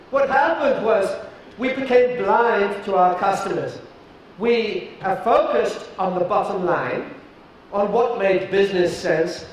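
A middle-aged British man giving a keynote talk on a large stage, deliberate and emphatic, with some fillers. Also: sits high, a little hiss or hum. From United Kingdom. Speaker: keynote speaker. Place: large dark stage before an audience. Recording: echoey hall from a distance.

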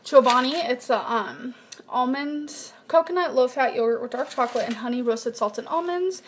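A young woman showing her groceries in a casual home vlog, chatty and reading off nutrition numbers in a flat list, recorded on a webcam in her kitchen. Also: high voice, normal speed, a little hiss or hum. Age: young adult. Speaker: vlogger. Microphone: built-in webcam mic at desk distance.

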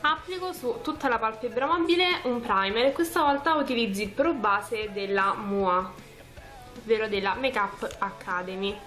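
A woman's voice: on the high side, moderate pace, a bit bright, faint music behind. A woman, casually talking through a makeup tutorial step by step in an informal, chatty way. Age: 20s. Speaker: beauty vlogger. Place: small furnished room at home, indoors.

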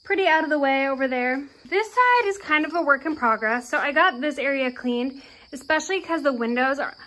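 A woman's voice: high voice, brisk, bright, forceful tone. A woman in her twenties from Iowa, chatting casually as she vlogs while walking through an old farm barn. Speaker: vlogger. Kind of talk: casual vlog narration. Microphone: handheld camera close to the face.

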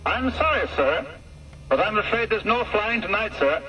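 Clipped, officious RAF officers speaking over a crackly telephone line, as a male storyteller puts them on. The voices sit high and swing up and down, sounding distant and muffled. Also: lively intonation, moderate pace, pushed and bright, slightly rough, exaggerated voice. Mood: curt.

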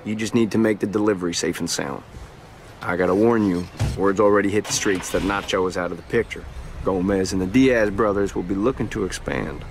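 A man's voice: low voice, fairly quick, slight background noise. A man playing a cool, businesslike smuggler's henchman in a video game, matter-of-fact and controlled. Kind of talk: video game cutscene acting.

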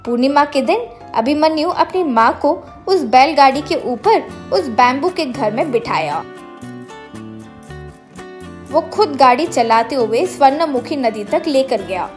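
High-pitched woman narrating a story. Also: expressive, a little slow. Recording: music behind the voice. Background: music.

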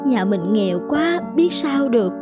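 An actress playing a poor, anxious peasant wife, husky and plaintive, in an animated folk tale. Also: female voice, a little high, unhurried, loud music behind the voice. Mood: worried, resigned.

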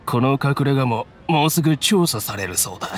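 A man playing an earnest, resigned mercenary with a rough, plain-spoken manner in a Japanese anime game. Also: mid-range voice, lively intonation, a little fast, a little hiss or hum, close to an everyday voice.

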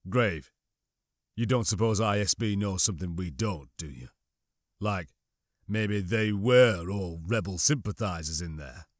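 A man's voice: sits low, unhurried, dry recording, studio quality, voice pushed down. A man voicing an earnest, troubled stormtrooper with a tense, questioning manner in an audiobook. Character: troubled, doubting male stormtrooper, earnest and uneasy.

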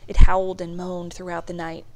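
A woman reading a children's storybook aloud in a measured, expressive storytelling manner. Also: lowish voice, rough recording. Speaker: storybook reader. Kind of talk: read-aloud children's book narration.

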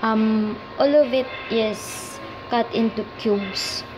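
A woman's voice: fairly high pitch, takes it slowly, lo-fi sound, noisy background. Filipino woman hosting a home cooking video. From Philippines. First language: Tagalog. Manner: mixes English and Tagalog, hesitant with many ums. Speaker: cooking vlogger. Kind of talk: casual cooking tutorial. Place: home kitchen counter.

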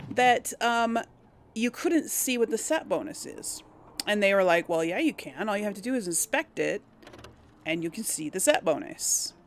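Chatty woman over game noise. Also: mid-range voice. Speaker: let's play host. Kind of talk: casual gameplay commentary. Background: video game ambience.

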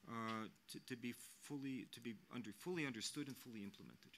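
A man in his sixties speaking as a mission official on a panel, measured and earnest, often restarting his sentences, into a desk microphone in a press hall. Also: medium pitch, a bit bright, a little hiss or hum. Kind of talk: unscripted panel remarks.

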